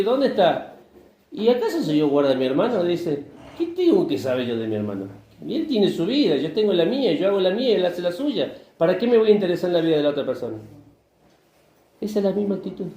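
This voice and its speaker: A man's voice: on the high side, mellow, slight background noise. A man with an Argentine accent, a pastor preaching a conversational sermon in a church, asking the congregation questions and acting out short bits of dialogue. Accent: Argentine Spanish. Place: church hall. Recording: echoey church room, faint ambience.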